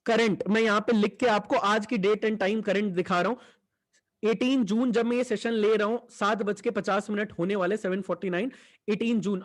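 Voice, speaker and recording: A young man, giving an enthusiastic sales pitch for an online business and mixing Hindi with English. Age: 20s. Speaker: online business team leader.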